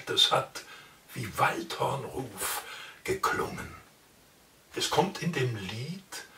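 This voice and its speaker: An older man reading a long poem aloud in a theatrical, expressive way, indoors in front of the camera. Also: mid-range voice, vocal fry, echoey room, far from the mic. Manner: expressive, theatrical, varies loud and soft. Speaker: reader of a poem. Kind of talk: slow dramatic poetry reading. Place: indoor room, plain wall.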